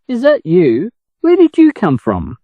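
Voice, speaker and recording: A man's voice: high voice, wildly swooping pitch, a little slow, no room sound, dull audio, pitched above the performer's own voice, heavily acted. An Australian man voicing a sociable, mildly strict mother duck in a storybook reading. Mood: excited, gossipy. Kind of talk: storybook character voice.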